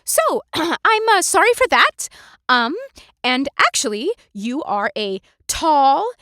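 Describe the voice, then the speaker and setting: A woman puts on an anxious young woman, her voice pitched high and bright. She stammers and fills gaps with ums and uhs, eager to get things right. Dry, close sound. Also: sing-song, slow pace, dry recording, exaggerated voice. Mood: flustered. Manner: hesitant, stumbling with ums and uhs. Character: flustered young woman, nervous, eager to please.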